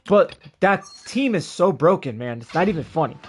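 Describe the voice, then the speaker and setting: A man's voice: fairly high pitch, big pitch swings, normal speed. A man chatting casually on a game livestream, rambling and repeating his phrases as he goes. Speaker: game streamer. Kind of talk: casual livestream chat over gameplay. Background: game music.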